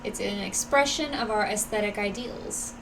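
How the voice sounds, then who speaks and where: A woman's voice: medium pitch, normal speed, noisy background. A woman streaming a game and reading its dialogue aloud. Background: game music.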